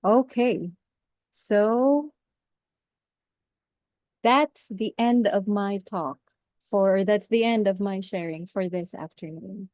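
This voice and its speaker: Slow-spoken woman guest speaker. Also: medium pitch, darkish tone, dry recording.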